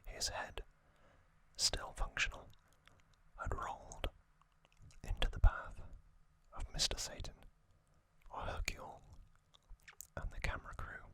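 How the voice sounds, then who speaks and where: An ASMR host whispering a story recap in hushed, deliberate short phrases. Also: low-quality recording.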